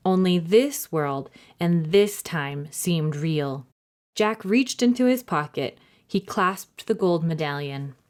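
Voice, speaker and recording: Woman storytime host reading for children: expressive delivery, lively intonation, pitch a touch low. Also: slow. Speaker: library storytime host and reader. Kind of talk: children's storybook narration and friendly address to listeners.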